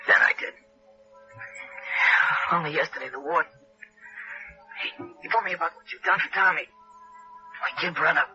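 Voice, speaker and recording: An actor playing a dying American convict in a 1960s radio drama, weak and remorseful, speaking haltingly in rough, uneducated phrases. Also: male voice, fairly high pitch, sharp tone. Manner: halting, rough uneducated speech, trailing and repeating. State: weak, failing, gasping. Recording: dull old radio recording, faint music.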